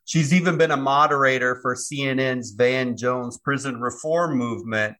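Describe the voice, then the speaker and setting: A man hosting a local TV interview, introducing his guest and encouraging her with quick remarks and laughs. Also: mid-range voice, sharp tone. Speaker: TV interview host.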